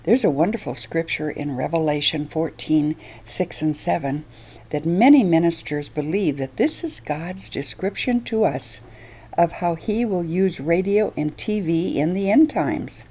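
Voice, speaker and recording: Gentle, earnest woman hosting a radio devotional. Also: on the low side, expressive, a little slow, slightly rough, muffled recording. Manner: gentle, earnest, softly preaching. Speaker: radio ministry host.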